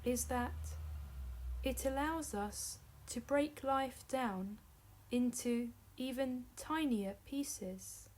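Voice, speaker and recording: A woman narrating a guided meditation as a teacher, in measured short phrases separated by long pauses. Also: high voice, a little mellow, poor audio.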